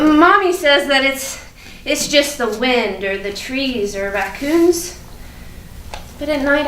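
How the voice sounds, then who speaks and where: Woman playing a high-pitched, childlike little girl. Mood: anxious, earnest. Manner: childlike, halting, repeats words for emphasis. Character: innocent, chatty, fearful little first-grade girl. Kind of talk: theatrical monologue at a staged reading. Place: indoor room at a live staged reading. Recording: roomy, distant, noisy live stage recording.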